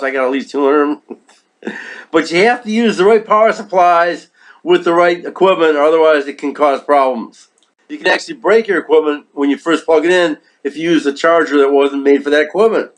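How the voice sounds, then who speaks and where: A man giving a casual instructional product demonstration, speaking in a repetitive, emphatic way and often checking in with the listener. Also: on the high side. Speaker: product reviewer.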